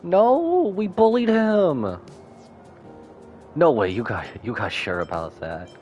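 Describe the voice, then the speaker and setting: A man's voice: on the high side, lively intonation, slow, darkish tone, highs cut off, faint music behind. A man streaming a game with casual reaction commentary, informal, muttering asides and tossing out exclamations.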